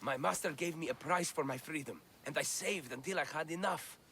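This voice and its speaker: A man's voice: on the high side, fairly quick, sharp tone, slight background noise. An actor playing a weary, bitter male slave with a downcast manner.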